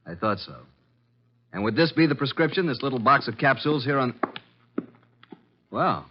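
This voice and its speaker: An actor playing a wry, suspicious detective's assistant with an American accent, dry and probing in 1950s radio style. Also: male voice, mid-range voice, sing-song, moderate pace, slight background noise, close to an everyday voice. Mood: firm, probing. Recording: muffled vintage radio recording.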